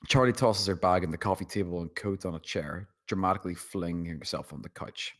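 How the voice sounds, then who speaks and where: A man with a low voice narrates a screenplay. He reads out scene headings and stage directions as scripted narration for a table read. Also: brisk.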